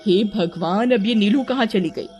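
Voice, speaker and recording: A woman's voice: medium pitch, moderate pace, darkish tone, faint music behind, close to an everyday voice. A woman voicing a bossy, worried elder sister who instructs and scolds in a cartoon horror story. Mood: alarmed, scolding.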